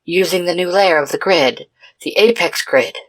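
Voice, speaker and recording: A woman voicing an earnest, commanding female team leader in an adventure story, measured and solemn. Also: lowish voice, pitched above the performer's own voice, lightly acted, poor audio.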